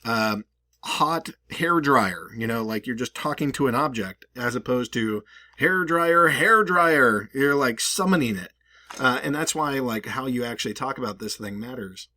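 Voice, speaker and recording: A man's voice: medium pitch, animated, unhurried, pushed and bright, no echo. A man hosting a video with casual, rambling and emphatic conversational commentary. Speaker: video host.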